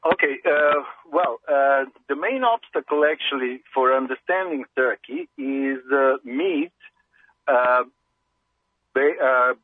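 A man's voice: medium pitch, animated, takes it slowly, no room sound. A male reporter from Serbia with a Serbian accent, whose first language is Serbian. He speaks English hesitantly, searching for his words as a guest on the show. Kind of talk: phone interview. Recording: tinny phone line.

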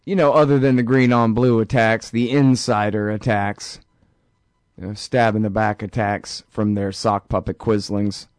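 A man's voice: lowish voice, flat delivery, moderate pace, clean tone, no echo, studio quality. A man hosting a political talk show, ranting sarcastically with lots of energy. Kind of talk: political radio commentary.

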